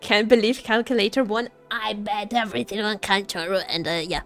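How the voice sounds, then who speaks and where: A woman livestreamer chatting casually with viewers about games, halting and jokey, often repeating herself. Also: mid-range voice, steady tone. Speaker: livestreamer, gaming content creator. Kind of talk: casual live gaming commentary, chatting with viewers. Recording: faint music behind the voice. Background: faint music.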